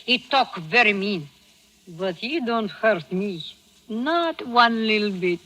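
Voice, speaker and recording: Scheming, sultry woman, radio thriller, broken English, unhurried and sharp, teasing. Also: mid-range voice, animated, exaggerated voice, low-quality recording. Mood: taunting. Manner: flirtatious, taunting, broken English. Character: brash, sultry, scheming Cuban woman of the night. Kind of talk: 1940s radio thriller acting.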